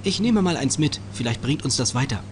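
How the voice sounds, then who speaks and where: A man's voice: medium pitch, lively intonation, fairly quick, lightly acted. A man playing a self-assured teenage boy detective in an adventure game, speaking in knowing, declarative lines. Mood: observant, wry. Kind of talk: scripted point-and-click game dialogue. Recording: game audio with clear noise.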